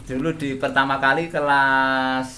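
A man's voice: mid-range voice, little pitch movement, unhurried, sharp tone. A young man, a goat farmer answering questions briefly and modestly. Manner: modest, brief replies, chuckles shyly. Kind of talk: casual answers to interview. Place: outdoor wooden goat pen on a farm. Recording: noisy outdoor farm audio.

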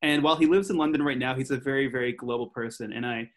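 A young man with a mid-range voice hosts a podcast interview, speaking in a friendly, earnest way. His questions come out a little halting as he searches for words. He talks into a headset mic from a room at home. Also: medium pitch. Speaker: podcast host. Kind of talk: interview hosting.

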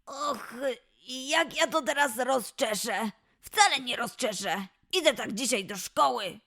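Woman playing a high, creaky little lion cub boy. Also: lively intonation, slow pace, pushed and bright, full character voice. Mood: grumpy. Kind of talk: children's audio story acting.

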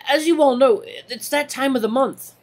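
A man voicing a timid, nervous little male rabbit making announcements in an audio story, halting and stammering. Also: extremely high pitch, pitched above the performer's own voice.

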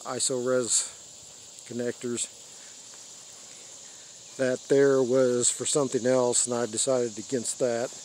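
An older American man giving a casual, unscripted show-and-tell in halting short phrases, recorded outdoors on a handheld device. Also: mid-range voice, flat delivery, a little mellow, a little hiss or hum. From United States. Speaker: radio hobbyist giving a tour. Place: open rural backyard, outdoors. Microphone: handheld phone or camera mic.

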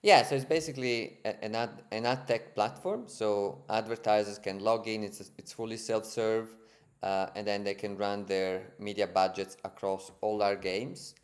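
A man's voice: on the low side, fairly even intonation, normal speed. A man in his thirties with an Italian accent, a guest explaining his business on a video-call podcast, fluent but with many fillers and repeated words. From Italy. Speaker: guest, ad tech co-founder. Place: home room, indoors. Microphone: headset on a video call.

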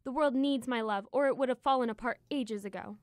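An actress playing a haughty, scornful goddess of love in an audio drama, speaking in a formal, imperious way. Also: female voice, fairly high pitch, brisk, no room sound, clean studio sound, lightly acted.

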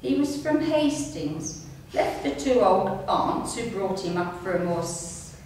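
An older woman in her sixties reads her own story to listeners, in a measured storytelling way. Her voice sits at a middling pitch. She is recorded at a distance in a strongly reverberant room, with a little noise underneath. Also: lively intonation, a little mellow. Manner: measured storytelling, reading from pages. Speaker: amateur writer reading her own story. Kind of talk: reading a written memoir aloud to an audience. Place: indoor room at a community centre, seated. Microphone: distant camera microphone. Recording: echoey room, distant mic, faint noise.

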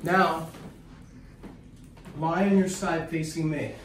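A man's voice: fairly high pitch, steady tone, slow. A man, chatting bluntly and jokingly as a chiropractor working on patients. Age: 50s. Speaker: chiropractor, host. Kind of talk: casual chat with patients while working. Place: small treatment room indoors. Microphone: handheld camera a few feet away. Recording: roomy, distant camera mic, faint noise.

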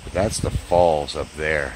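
A man's voice: a bass voice, measured pace. A man narrating his hike up a wooded gorge trail in a chatty way, filmed on a handheld camera. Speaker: hiking vlogger. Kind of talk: casual hiking narration. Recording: outdoor stream noise behind.

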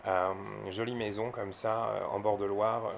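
A man's voice: lowish voice, flat delivery, normal speed, vocal fry, a little hiss or hum. A man from France giving casual, unscripted vlog commentary, explaining things informally and with some hesitation. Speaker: electric car owner and vlogger.

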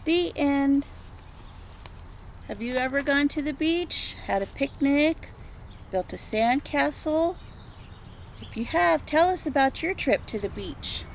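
A preschool teacher in her forties with a high voice reads a rhyming picture book at an unhurried pace. She pauses to ask the children gentle questions. She was recorded close to a phone on an outdoor porch, with faint birdsong behind her. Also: expressive, a little hiss or hum. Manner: slow rhythmic rhyme reading with gentle questions to children. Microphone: phone or laptop camera at close range.

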